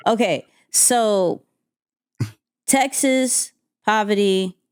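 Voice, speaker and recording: Chatty, lively woman podcast host. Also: medium pitch, extremely slow, clear, resonant tone, no echo, clean studio sound. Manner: lively, chatty, repeats herself. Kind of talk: casual interview.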